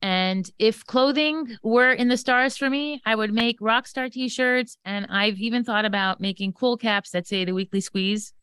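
A woman's voice: a little high, measured pace, few pauses, fairly bright tone, clean tone, no room sound. A woman in her forties chatting casually as a podcast guest, playful and teasing. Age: 40s. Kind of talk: casual bantering chat.

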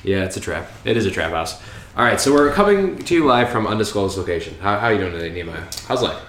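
Man, fairly low voice, relaxed joking podcast co-host, echoey room, faint background noise. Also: fast. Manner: relaxed, jokey, chatty. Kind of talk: casual sports podcast chat. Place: house, indoors.

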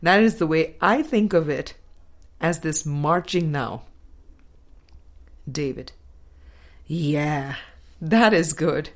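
A woman reading a spiritual book aloud as scripted narration, in a deliberate, measured manner with pauses between phrases. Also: low-pitched, animated. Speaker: audiobook reader.

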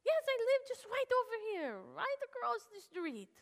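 A woman putting on the voice of an earnest middle-aged Russian repair technician, speaking formal, halting English with a Russian accent. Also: very high voice, sing-song, voice pushed up, full character voice. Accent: Russian, put on. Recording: long reverb of a large hall.

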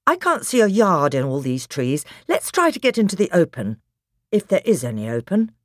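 A woman's voice: fairly low pitch, very animated, normal speed, no room sound, studio quality, pitched above the performer's own voice. A woman playing a decisive, sensible eldest schoolboy, hearty and direct, in a storybook reading. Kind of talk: audiobook character acting.

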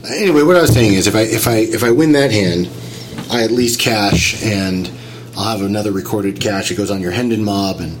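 A man's voice: on the low side, a little fast, a little hiss or hum, poor audio. A man getting over a cold, sounding nasal and a little whiny, talking through a story in casual chat and explaining things step by step. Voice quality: slightly whiny.

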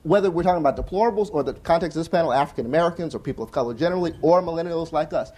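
A young adult man on a conference panel, talking earnestly and fast with frequent restarts and "right?" tags. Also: fairly high pitch, a little mellow. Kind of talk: panel discussion answer. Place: conference hall with audience.

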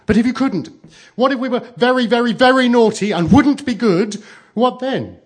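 A man's voice: very high voice, normal speed, voice pushed up. A man voicing a frightened, pleading little girl in a storybook reading, sobbing and desperate.

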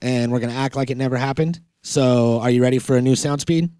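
A man co-hosts a podcast in a close, dry recording. He chats casually and loosely, full of slang and swearing. His pitch is middling and his delivery laid-back. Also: quick pace, warm tone, clear, resonant tone, no room sound. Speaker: podcast host. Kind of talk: casual banter between co-hosts.

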